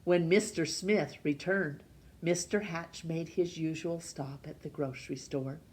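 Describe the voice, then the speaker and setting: A woman reading a children's storybook as narrator, expressive, with dramatic pauses and emphasis. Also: deep voice. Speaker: storytime reader.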